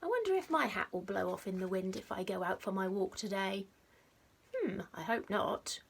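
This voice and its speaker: A woman reading a picture book aloud to young children like a teacher, expressively, with dramatic pauses and stressed rhymes and sound words. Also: medium pitch, expressive, vocal fry, slightly rough. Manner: simple explanatory asides addressed to young children. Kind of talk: animated storybook read-aloud.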